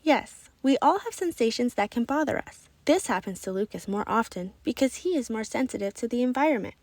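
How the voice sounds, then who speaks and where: A woman playing a patient, reassuring schoolteacher who explains gently in a storybook. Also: a little high, expressive, fairly quick, dry recording.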